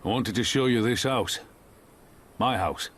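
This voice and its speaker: A man's voice: mid-range voice, unhurried, fairly bright tone. A man playing a weary, plainspoken veteran soldier in a video game cutscene, speaking wistfully. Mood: wistful, reflective.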